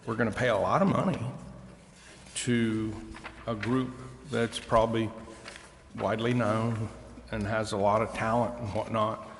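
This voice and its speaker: A man speaking as a council member in a public meeting, with halting, deliberate phrasing. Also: lowish voice, fairly even intonation. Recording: echoey hall with long reverb, faint noise.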